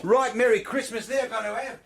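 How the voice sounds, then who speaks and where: An actor playing a cheeky, grumbling young household servant in a radio play, with a breezy manner. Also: male voice, very high voice, fairly even intonation, moderate pace. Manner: breezy, complaining.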